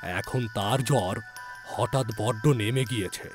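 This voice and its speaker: A man playing a village physician who recounts an eerie ghostly encounter in a measured, suspenseful audiobook narration. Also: on the low side, lightly acted. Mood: suspenseful, uneasy. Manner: measured, suspenseful delivery with hushed drops and emphatic questions. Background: background music.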